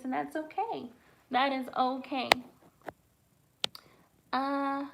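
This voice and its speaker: A woman's voice: a little high, low-quality recording. A woman in her late twenties hosting a casual livestream and answering viewer questions as a dating coach. She talks frankly, with lots of fillers. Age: late 20s. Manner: frank, conversational, many fillers.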